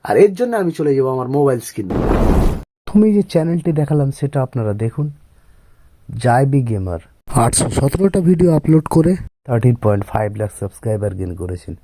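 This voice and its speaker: Fast-talking, muffled-dark man. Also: mid-range voice, big pitch swings. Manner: repetitive, addresses viewers as friends. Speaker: YouTube tutorial host. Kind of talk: step-by-step screen-recording tutorial narration.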